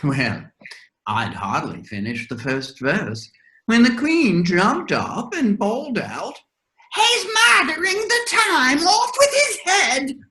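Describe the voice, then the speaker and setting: Woman playing eccentric, swooping, slow-talking Mad Hatter. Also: a little high, wildly swooping pitch, sharp tone, exaggerated voice. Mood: aggrieved. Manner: theatrical, drawn-out, emphatic. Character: eccentric, huffy, scatterbrained male Hatter at tea party. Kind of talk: readers' theater of children's classic.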